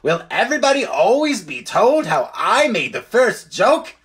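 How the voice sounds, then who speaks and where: Perky high-pitched little jackdaw acted by a man. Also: normal speed, husky, heavily acted. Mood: excited, proud. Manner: excited, eager exclamations. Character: perky little male jackdaw, eager and cheeky.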